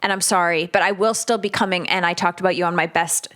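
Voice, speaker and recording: A woman's voice: fairly low pitch, fairly even intonation, fast, a bit bright. A woman co-hosting a podcast in casual chat, speaking emphatically and a little bossily with her friends. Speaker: podcast co-host.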